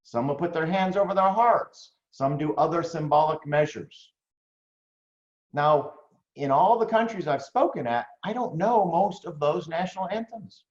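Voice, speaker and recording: Animated American man coaching, roomy sound. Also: fairly high pitch, measured pace. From United States. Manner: animated, conversational, frequent "right?" tags. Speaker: business coach. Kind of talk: online coaching talk. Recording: roomy, slightly dull lo-fi audio.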